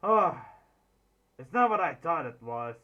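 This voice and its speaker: A man's voice: on the high side, sing-song, a little slow, dull audio, very noisy. A man reacting casually to a video, joking and swearing freely.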